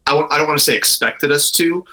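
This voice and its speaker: Bright, forceful American man talking casually. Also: fairly high pitch, quick pace. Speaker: interview guest, brewery owner. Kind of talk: casual, candid conversation.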